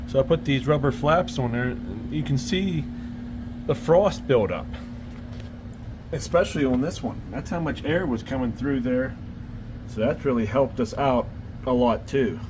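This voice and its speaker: A man's voice: medium pitch, measured pace, noisy background. A man who is losing his voice, chatting casually about his farm and woodstove, laughing between remarks. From Ohio. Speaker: homestead vlogger. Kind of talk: casual vlog walkthrough. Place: farm outdoors and basement.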